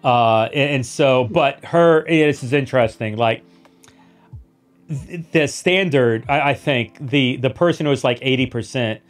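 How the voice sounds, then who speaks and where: A man's voice: mid-range voice, measured pace. Man, podcast host, casual chat, rambling restarts, faint music behind. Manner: rambling with many restarts and repeats. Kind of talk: casual conversation.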